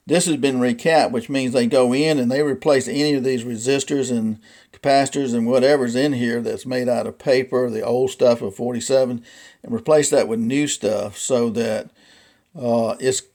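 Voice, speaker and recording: A man with a mid-pitched voice talks through a casual, unscripted demonstration as a hobby collector. He explains things in a folksy, rambling way. His delivery is halting, as he works out what to say next. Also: darkish tone. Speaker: vintage radio collector presenting a radio.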